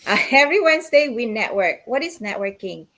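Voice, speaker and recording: A woman's voice: on the high side, very animated, fairly quick, a bit bright. A woman with a Filipino accent hosting a podcast, talking with enthusiasm and repeating words for emphasis. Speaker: podcast host. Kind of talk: casual chat.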